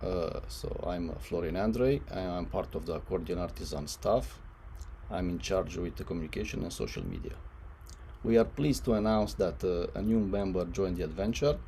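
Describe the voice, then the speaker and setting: Male host, formal and halting, low creaky voice, noisy recording. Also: expressive, warm tone. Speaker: webinar host. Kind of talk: reading out a webinar introduction. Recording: noisy line with background ambience.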